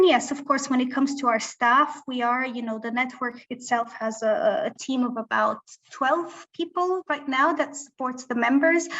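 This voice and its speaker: A woman's voice: a little high, fairly bright tone. Woman panelist on a dull, lo-fi line. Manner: hesitant, with many fillers. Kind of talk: panel discussion. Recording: dull lo-fi audio.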